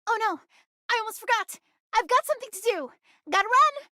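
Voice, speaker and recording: A woman's voice: very high voice, expressive, fairly quick, pushed and bright, no echo, studio quality, exaggerated voice. A woman voicing a peppy, bossy and eager young female crewmate in an anime game. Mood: peppy, playful.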